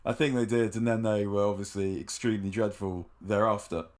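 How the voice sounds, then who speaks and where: A man co-hosting a podcast who chats casually about football in an animated way, with chuckles and quick asides. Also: lowish voice. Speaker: podcast co-host.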